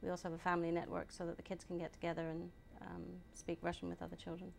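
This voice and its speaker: A woman born in England, answering interview questions at a steady, measured pace and often pausing on ums. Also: lowish voice, vocal fry, slight background noise. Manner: measured, hesitant with many ums. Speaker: guest, group founder.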